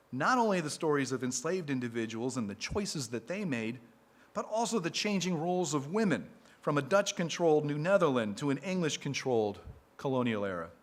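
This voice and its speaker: A man reading a prepared talk to a live audience as a speaker for a historic site, measured and steady. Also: medium pitch.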